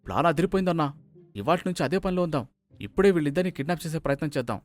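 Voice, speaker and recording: A man's voice: on the high side, animated, racing, lightly acted. A man voicing a loyal, eager gangster's henchman in a story.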